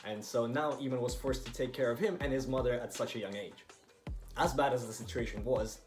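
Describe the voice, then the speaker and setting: A young man from Estonia narrates a motivational video at a brisk clip. His delivery is earnest and emphatic, and faint music plays behind him. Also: medium pitch, a little mellow. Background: background music.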